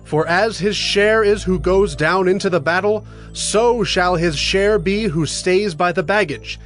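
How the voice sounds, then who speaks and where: Guy acting a commanding bible war leader, high voice, emphatic, music under it. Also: unhurried, a bit bright. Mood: firm, rebuking. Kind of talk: dramatized scripture audio acting.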